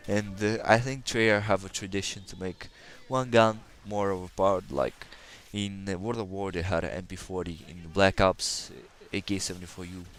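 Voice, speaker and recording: A man's voice: sits low, fairly even intonation, normal speed, few pauses. A man from Kazakhstan, a native Russian speaker with a slight Russian accent, giving rambling, hesitant gameplay commentary full of fillers. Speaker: gaming channel host.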